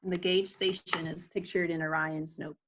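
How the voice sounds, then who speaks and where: A woman reading a children's book aloud at home in an unhurried way, explaining things in casual asides as she goes. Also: low-pitched, level tone, noisy background.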